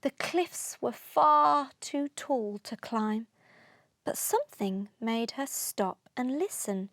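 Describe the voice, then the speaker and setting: A woman narrating a children's storybook aloud, expressively, with deliberate rhythmic delivery of rhyming verse. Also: fairly high pitch, animated, a bit bright, dry recording.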